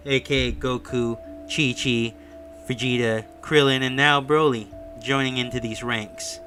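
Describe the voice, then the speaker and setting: Bright-voiced man narrating a recap over music. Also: medium pitch. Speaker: video creator and narrator. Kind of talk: informal recap and review voice-over.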